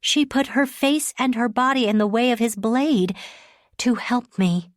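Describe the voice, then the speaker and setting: A woman's voice: fairly high pitch, moderate pace, darkish tone, no room sound, clean studio sound, voice pushed up, natural voice. A woman reading an audiobook, voicing a distraught young woman who pleads and repeats herself breathlessly. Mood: tearful insistent. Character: frantic distraught young woman, shaken and remorseful.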